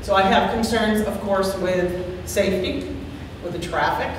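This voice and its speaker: Woman, loud voice, earnest and worried, distant mic, long hall reverb. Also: mid-range voice, a little slow. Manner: earnest, worried, repeats herself. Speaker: resident giving public comment. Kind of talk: public testimony at a board hearing. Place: large town meeting hall, far from the mic. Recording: echoey hall, distant mic, faint hiss.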